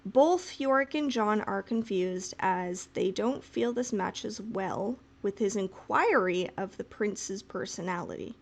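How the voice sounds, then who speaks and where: A woman's voice: mid-range voice, slow, hardly any pauses. A woman co-hosting a podcast, talking casually and reading out a written recap. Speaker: podcast co-host.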